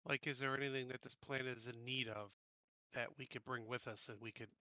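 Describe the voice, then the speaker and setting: A man's voice: mid-range voice, fairly even intonation, fairly quick, pushed and bright, no echo, lo-fi sound. A man in his fifties chatting casually and bluntly as a player in a tabletop game. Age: 50s.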